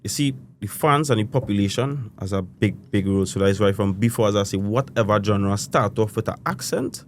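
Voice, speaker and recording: A man's voice: fairly low pitch, brisk, a little hiss or hum. A young Trinidadian man with a Trinidadian accent, a musician being interviewed, talking casually and rambling with loose island phrasing and lots of "you know". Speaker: guest musician.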